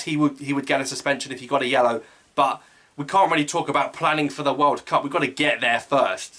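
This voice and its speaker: A man hosting his own football channel, giving a solo match preview as an eager fan, with quick asides and self-corrections. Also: a little high, pushed and bright.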